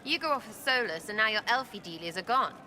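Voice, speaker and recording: Brash, animated elf girl. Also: mid-range voice, fast, a little hiss or hum. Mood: blunt, amused. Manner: cheeky, blunt, slangy teasing. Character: brash, mocking young female elf rogue, irreverent and slangy. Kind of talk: dramatic video game acting.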